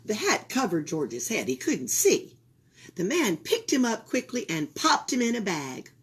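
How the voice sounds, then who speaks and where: A woman reading a children's picture book aloud for a library storytime, expressive and sometimes dropping into a whisper. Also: mid-range voice, a little slow, a little hiss or hum. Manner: expressive, drops into whispers for suspense.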